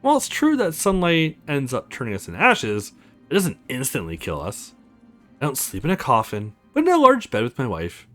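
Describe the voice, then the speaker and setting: A man's voice: mid-range voice, huge pitch swings, fairly bright tone, soft background music. Man putting on a courtly ancient vampire lord, theatrical swoops. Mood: wryly amused. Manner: formal, measured storytelling. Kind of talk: visual novel character voice read aloud.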